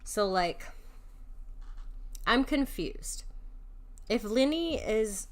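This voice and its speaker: A woman's voice: a little high, animated, very slow. A young woman streaming a video game, giving casual, joking commentary with slangy, teasing reactions. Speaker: vtuber streamer playing a game.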